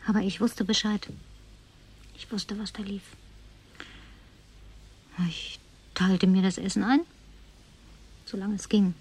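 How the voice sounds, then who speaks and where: An actress playing a tipsy, flirtatious, lonely woman survivor in a radio drama, coquettish and slipping into breathy whispers. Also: mid-range voice, steady tone, slow, pauses often, darkish tone, exaggerated voice, poor audio. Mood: tipsy reminiscing. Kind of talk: German radio drama acting.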